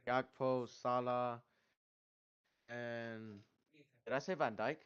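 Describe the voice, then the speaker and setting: A young man chatting casually on a stream, laid-back, giving short replies that trail off quietly. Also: medium pitch, fairly even intonation, slow, smooth. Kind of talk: casual livestream chat. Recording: dull lo-fi audio.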